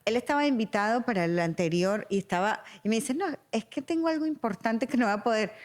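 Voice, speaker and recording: A woman's voice: a little high, animated, fast talker. A woman from Venezuela, hosting a podcast in casual interview conversation. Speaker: podcast host.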